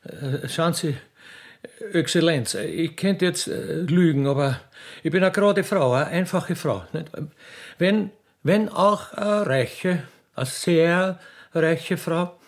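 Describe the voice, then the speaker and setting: A man voicing a mature, rich butcher's widow in a comic radio play, wooing in folksy, halting, insistent Viennese. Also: on the high side, voice pushed up. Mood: wheedling, boastful.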